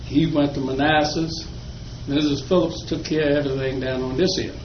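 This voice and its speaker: A man's voice: a little high, fairly even intonation, normal speed. An older man from Virginia, an interviewee telling folksy stories of his childhood with a chuckle. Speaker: oral history interviewee. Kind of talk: slow reminiscing storytelling. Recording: echoey room, dull lo-fi, faint noise.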